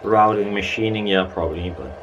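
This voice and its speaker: A man's voice: fairly low pitch, brisk, dull audio, background noise. A man talking casually and bluntly as he presents his own DIY build, rambling informally. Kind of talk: casual workshop chat.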